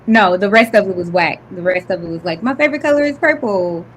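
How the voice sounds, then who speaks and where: High-voiced young woman, laid-back. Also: fairly quick. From Detroit. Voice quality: high-pitched voice. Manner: laid-back, giggly, lots of "like" and "um". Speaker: author, podcast guest. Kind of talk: casual chat.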